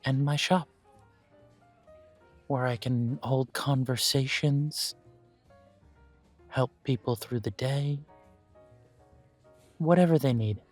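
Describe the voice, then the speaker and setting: A man voicing a polite male elf who owns a coffee shop, interviewing the listener for a job in a calm, formal way. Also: mid-range voice, takes it slowly, pauses often, soft background music. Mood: courteous. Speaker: shop manager interviewing an applicant. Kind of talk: audio roleplay, talking to the listener.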